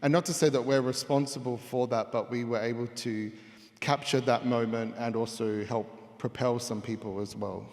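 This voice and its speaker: A man's voice: medium pitch, steady tone, normal speed. A man, speaking on a panel with a handheld mic. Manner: loud voice. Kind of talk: panel discussion. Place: large hall with audience. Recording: hall reverb.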